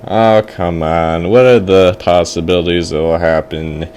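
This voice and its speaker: A man voicing a kooky, sinister old fortune teller in a told story, making drawn-out, ominous pronouncements with false kindliness. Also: deep voice, steady tone, darkish tone, a little hiss or hum, voice pushed down. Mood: ominous, menacing.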